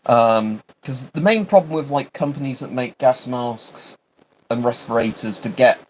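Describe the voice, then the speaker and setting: A man's voice: medium pitch, moderate pace, slight background noise, poor audio. A British man chatting casually on a livestream, rambling and hesitant, often interrupting himself. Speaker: livestream host.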